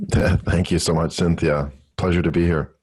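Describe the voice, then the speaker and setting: Deep-voiced Canadian man, chatting casually. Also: fairly even intonation, a little mellow, husky, no room sound. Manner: halting, good-humoured, chuckles often. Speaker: podcast guest, business mentor and speaker. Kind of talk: casual interview answers.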